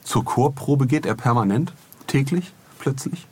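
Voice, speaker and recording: A man's voice: medium pitch, animated, a little slow, slightly rough. A man co-hosting a podcast in a casual, conversational style, often tagging remarks with little questions. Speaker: podcast co-host.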